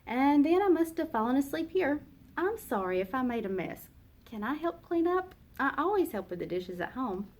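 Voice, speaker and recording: A woman's voice: sits high, sing-song, normal speed, voice pushed up. A woman voicing a cheerful little girl who is sorry and eager to help, in earnest storybook dialogue. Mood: apologetic.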